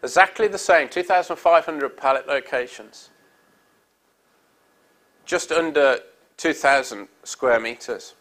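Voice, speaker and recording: Middle-aged european guy pitching on stage at a conference, salesy, lots of ums, hall mic. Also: unhurried, long pauses, a bit bright. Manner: upbeat salesy delivery with many fillers. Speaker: industry speaker. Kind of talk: conference presentation.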